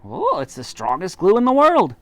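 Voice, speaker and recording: A reader putting on a frenzied monkey father, barking orders high and loud with wild swings of pitch in a close, dry sound. He sounds urgent and bossy, hurrying everyone along. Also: huge pitch swings, moderate pace, dry recording. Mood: excited. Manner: shouting, urgent commands.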